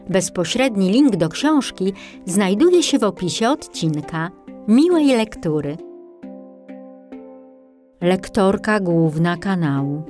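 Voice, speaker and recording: A woman narrating a children's audiobook in an expressive, scripted storybook style. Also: lowish voice.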